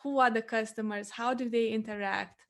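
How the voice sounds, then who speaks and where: A woman's voice: on the high side, fairly even intonation, moderate pace, a bit bright. A young woman from Lithuania with a Lithuanian accent, hosting a conversational podcast interview in an earnest, explanatory manner.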